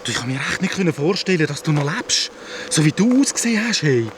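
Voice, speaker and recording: Young hero for radio drama: Swiss German, caring and unsettled, raised expressive voice, noisy ambience. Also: male voice, on the high side, quick pace. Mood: shaken, caring. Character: shaken, caring young male hero, tender and puzzled. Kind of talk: radio play acting. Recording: clear ambient noise behind.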